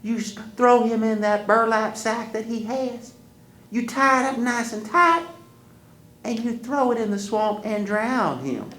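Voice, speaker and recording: A woman doing a stern high-voiced grandma. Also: a bit bright, reverberant. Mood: commanding. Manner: high, insistent, fast instructions. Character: stern old grandmother giving orders.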